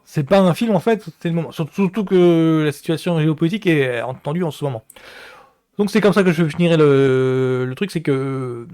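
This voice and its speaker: A man giving a casual solo reaction to a film trailer, thinking aloud with many pauses and restarts. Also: a little high, darkish tone.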